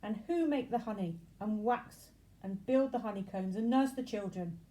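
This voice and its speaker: A woman's voice: mid-range voice, moderate pace, dark tone, lightly acted, poor audio. A woman voicing a weary, sensible aged bee in a children's story, buzzing and chiding.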